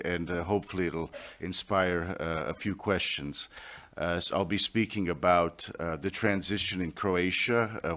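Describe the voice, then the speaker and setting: American guy with a low voice giving a conference speech, lots of uhs, steady and measured. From United States. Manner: measured, frequent "uh" fillers between phrases. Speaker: conference speaker.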